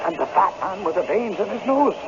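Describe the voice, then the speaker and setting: Timid but stubborn piper, high pitch, deferential pleading, radio-drama acting, muffled vintage broadcast. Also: male voice, very animated, brisk. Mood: appalled. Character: humble, frightened but stubborn Scottish piper. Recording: dull, faintly noisy vintage radio broadcast.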